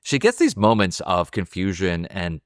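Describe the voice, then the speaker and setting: A man's voice: deep voice, huge pitch swings, normal speed, dry recording, studio quality. A man co-hosting a film podcast, casually analysing scenes as he thinks aloud. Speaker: podcast co-host discussing a film.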